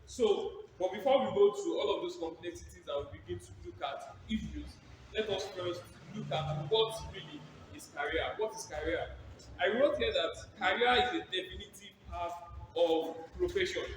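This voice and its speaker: A man's voice: sits high, fairly bright tone, slight background noise. A man giving a lecture to students, asking them questions and repeating his key points. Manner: rhetorical, repeats key phrases, asks the audience questions. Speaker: guest speaker. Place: large lecture theatre. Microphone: hand mic over a PA system. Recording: echoey hall, PA sound from far off.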